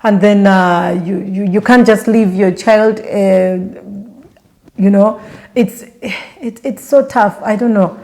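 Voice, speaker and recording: A woman's voice: mid-range voice, steady tone, slow pace, darkish tone. A young Ugandan woman with a Ugandan accent, vlogging in casual chat to camera and rambling through restarts and fillers while she asks her viewers direct questions.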